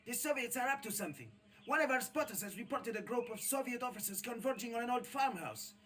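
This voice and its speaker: A man playing an anxious, excitable resistance fighter in a video game, speaking with urgency. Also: extremely high pitch, fast. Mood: alarmed. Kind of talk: video game cutscene acting.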